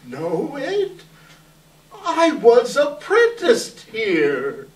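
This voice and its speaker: A woman playing a sentimental, astonished old man with drawn-out theatrical exclamations, recorded from far off in an echoey hall. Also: fairly high pitch, wildly swooping pitch, darkish tone, exaggerated voice. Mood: excited delight.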